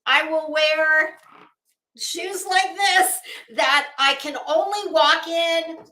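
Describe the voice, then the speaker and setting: A middle-aged woman hosting a casual livestream, chatting to viewers in a rambling, expressive way with self-deprecating asides. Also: very high-pitched, slow. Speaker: livestream host. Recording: roomy, echoey indoor sound.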